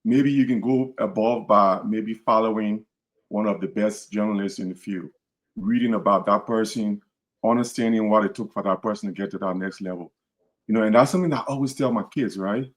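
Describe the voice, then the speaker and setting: A man's voice: on the low side, fairly quick. Earnest Liberian man hosting a podcast. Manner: earnest, repeats words and restarts phrases. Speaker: podcast host. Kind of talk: casual podcast talk.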